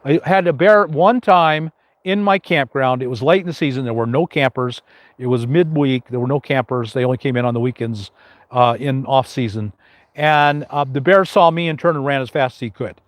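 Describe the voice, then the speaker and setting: A man's voice: mid-range voice, normal speed, dry recording. An older man with a big, carrying voice hosts a casual live question-and-answer stream, rambling with many fillers and restarts.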